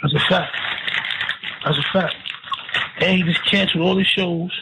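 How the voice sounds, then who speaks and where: A man's voice: high-pitched, fairly even intonation, moderate pace. A man with an American accent chatting casually in street slang. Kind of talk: casual street-talk chat. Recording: phone-like narrow band, background noise.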